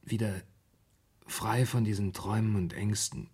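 An actor in a German radio play playing an anguished man haunted by nightmares, speaking haltingly and repeating his words. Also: lowish voice, steady tone, darkish tone, slightly rough. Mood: desperate.

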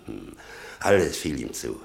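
An embittered old father in a radio drama. His voice is extremely low and full of creak. He broods and rambles haltingly, then flares up, fiercely devoted to his son. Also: very animated, full character voice. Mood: proud, wistful. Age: older. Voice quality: gravelly. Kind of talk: German radio play acting.

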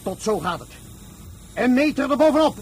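A resolute fleet leader in a science fiction radio drama. The voice is very high for a man, delivering exacting, clipped commands over a faint background hiss. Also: very animated, normal speed, darkish tone. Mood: brisk, commanding. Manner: clipped, authoritative orders.

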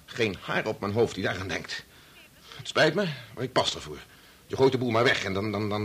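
Husky, argumentative young son. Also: male voice, medium pitch, fairly bright tone. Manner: argumentative, stammering when thrown. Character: bitter, impatient son working on his father's medical experiment. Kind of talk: Dutch radio play acting.

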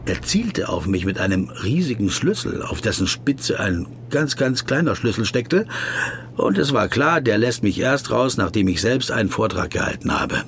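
A man's voice: medium pitch, very animated, moderate pace, a bit bright, a little hiss or hum. An actor playing a pedantic, weary middle-aged man who tells his story in a circumstantial, self-ironic way. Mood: reflective. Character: wordy, pedantic middle-aged male legal counsel, weary and self-doubting. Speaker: narrator and protagonist. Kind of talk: scripted literary radio drama monologue.

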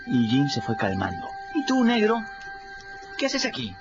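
Lively runaway boy storyteller. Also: medium pitch, a little slow. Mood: animated storytelling. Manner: colloquial, animated, exclamatory. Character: cheeky runaway adolescent boy narrating his river adventures. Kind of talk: radio drama storytelling in first person. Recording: muffled radio production with music behind.

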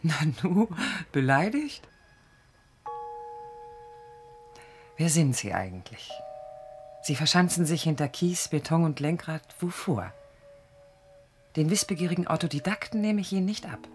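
An actress playing a proud, sharp-tongued young woman architect who probes and challenges in radio drama dialogue. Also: low voice, big pitch swings, moderate pace, faint music behind. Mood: probing. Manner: challenging, probing, teasing.

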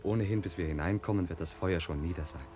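An actor playing a calm, deferential young courtly squire in a radio play, polite and formal. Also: male voice, low-pitched, fast, soft background music, natural voice. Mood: cautioning, reassuring. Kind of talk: literary radio play acting.

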